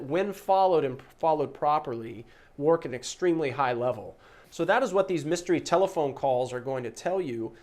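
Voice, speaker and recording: Man giving an emphatic business sales pitch. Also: darkish tone. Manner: emphatic, conversational sales pitch with rhetorical questions. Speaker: host and practice-management trainer pitching a service. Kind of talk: promotional explainer to camera or listener.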